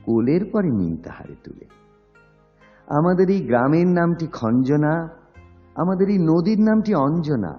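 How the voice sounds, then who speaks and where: Dull-sounding, very fast, expressive man reciting poetry. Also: on the high side. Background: music.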